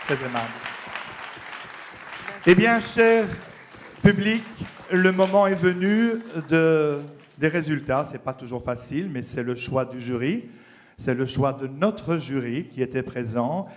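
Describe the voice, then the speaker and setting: A man's voice: fairly high pitch, a little slow, a faint crowd, slight background noise. A man with a Belgian French accent hosting a gala on stage, announcing emphatically. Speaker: gala host. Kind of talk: stage presenting.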